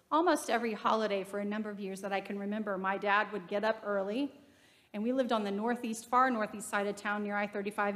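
A middle-aged woman preaches from a pulpit microphone, telling stories in an easy conversational way. Her voice sits at a mid pitch. It rings with the long reverb of a church sanctuary. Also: a bit bright. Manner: conversational storytelling from the pulpit, gesturing. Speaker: pastor. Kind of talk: sermon.

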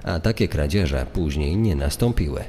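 A man's voice: extremely low pitch, animated, moderate pace, dark tone. A man reading scripted true-crime narration. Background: faint music.

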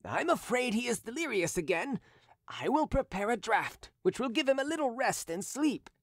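Falsely pious murderer, man, read by a woman, hushed solemn prayer, dry close sound. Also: on the high side, very animated, normal speed, no room sound, voice pushed up. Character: scheming, falsely pious male poisoner.

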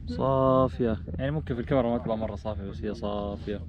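A man's voice: lowish voice, steady tone, racing, dull audio. A young Saudi man with a Hijazi accent, hosting a casual vlog and teasing his friends out at sea. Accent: Saudi Hijazi Arabic. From Saudi Arabia. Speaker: vlogger host. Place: small boat and sea, outdoors. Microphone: action camera. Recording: outdoor boat noise and wind.

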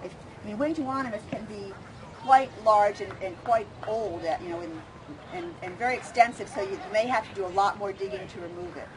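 A woman's voice: medium pitch, brisk, crowd noise, poor audio. A middle-aged woman leading an informal outdoor demonstration for a group as a plant educator. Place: open grassy park field, outdoors. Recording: clear outdoor ambient noise. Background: outdoor ambience.

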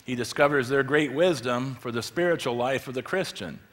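A man's voice: medium pitch, moderate pace, a bit bright. A middle-aged man giving a conversational lecture from a church stage, full of fillers and rhetorical questions. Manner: moves about gesturing with a remote. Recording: long hall reverb.

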